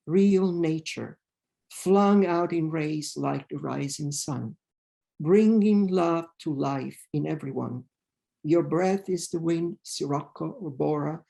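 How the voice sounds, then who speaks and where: A man with an Italian accent, a native Italian speaker, giving a hesitant lecture full of fillers and slowly reading poetry aloud. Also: on the high side, creaky, dark tone. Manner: hesitant, many fillers, reads verse slowly. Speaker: literature professor.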